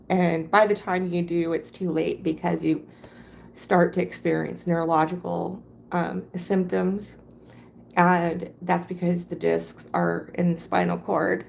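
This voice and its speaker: Mujer ronca que habla muy despacio, voz grave y rasposa. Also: female voice, lowish voice, slight background noise. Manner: halting, rambling. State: hoarse. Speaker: livestream crafter. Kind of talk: casual livestream chat.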